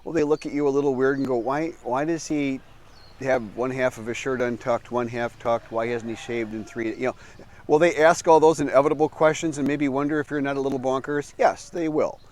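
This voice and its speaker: A man's voice: medium pitch, fairly even intonation, a little fast, darkish tone. An older man from the Midwestern US talking casually to camera, rambling, correcting himself and chuckling as he goes, outdoors under a metal roof. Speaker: vlogger living abroad.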